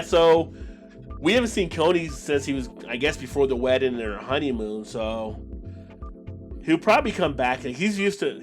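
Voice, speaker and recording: An American man hosting a casual live stream, talking to viewers in a rambling, conversational way. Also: mid-range voice. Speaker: beer channel live stream host.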